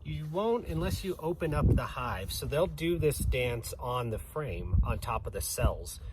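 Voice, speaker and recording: A man's voice: medium pitch, expressive, a little slow, heavy background noise. A man giving an informal educational explanation for children as a guest, going through things plainly and step by step.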